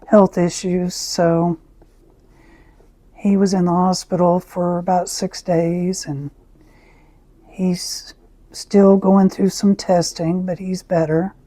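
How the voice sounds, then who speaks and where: A woman with a raspy voice, who often clears her throat as she chats through an art tutorial. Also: lowish voice, level tone, dry recording. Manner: slow, soft-spoken, frequent throat clearing. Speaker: art channel host. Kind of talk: casual art tutorial chat.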